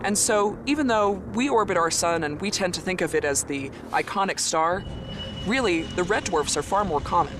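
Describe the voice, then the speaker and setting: A man's voice: sits high, expressive, normal speed, background noise. A man speaking as an expert in a science interview, explaining conversationally with emphasis on key words. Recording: music laid under interview. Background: music.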